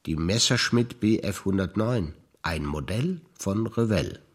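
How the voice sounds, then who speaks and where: A man, a stage actor, calmly reciting a series of childhood memories in a theatre performance. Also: low voice, slightly rough. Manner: calm, measured recitation.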